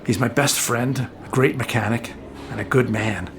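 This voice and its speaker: A man's voice: medium pitch, steady tone, moderate pace, close to an everyday voice. An actor playing a loyal, slightly awkward everyman in a naturalistic audio drama, speaking informally and wryly with some hesitation. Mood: earnest, worried. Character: warm, awkward, loyal everyman boat owner, male. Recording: loud music bed behind voice.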